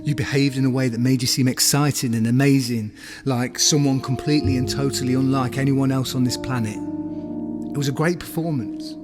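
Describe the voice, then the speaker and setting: An actor playing a bluntly cruel, self-loathing boyfriend in an audio drama, with an accusing, emotionally charged delivery. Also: male voice, medium pitch, fairly even intonation, moderate pace, music in the background, close to an everyday voice. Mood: bitter, resigned. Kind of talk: dramatic audio play dialogue.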